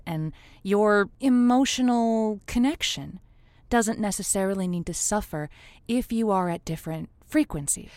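A woman's voice: medium pitch, a little mellow, dry recording, lightly acted. A woman playing a playful, indulgent radio call-in host who gives romantic advice in a flirty, soothing way. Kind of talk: semi-improvised audio drama, radio call-in host.

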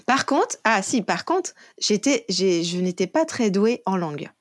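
A woman's voice: medium pitch, sing-song, a little slow, no echo. A middle-aged woman answering podcast interview questions casually and reflectively, often searching for words and correcting herself. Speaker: interview guest, online French and Turkish teacher.

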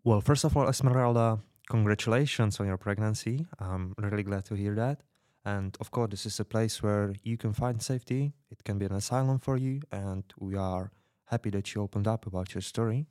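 Man playing a radio host, deeper pitch, dry recording, welcoming, hesitant, interview style. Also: fairly quick, darkish tone, dry recording, lightly acted. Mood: welcoming, reassuring. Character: welcoming male radio host of a misfit refuge in 2050. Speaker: podcast host. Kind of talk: fiction podcast interview, semi-improvised.

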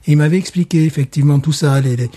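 A man from France talks in a relaxed, reflective way, pausing and restarting as he recalls stories. His voice is slightly higher than average, with a dark, mellow tone and a husky edge. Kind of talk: casual conversation.

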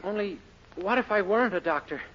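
A man's voice: high-pitched, expressive, a little fast. An actor playing a mild, naive, nervous young American man in a 1940s radio sitcom, meek and hesitant. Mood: meek, quipping. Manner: meek, hesitant, innocently wisecracking. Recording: very noisy, dull old radio recording.

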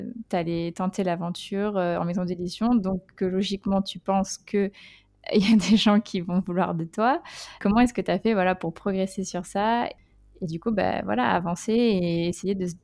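A woman's voice: lowish voice, dark tone. Woman host asking rambling questions. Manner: rambling questions full of fillers, friendly. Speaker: podcast host. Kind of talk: casual interview conversation.

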